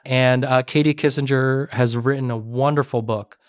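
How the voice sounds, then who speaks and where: A middle-aged man, a podcast guest, tells a personal story earnestly in a relaxed interview and often restarts his sentences. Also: mid-range voice, moderate pace, dry recording, studio quality. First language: Korean. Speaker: podcast guest, education advisor. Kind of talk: conversational interview.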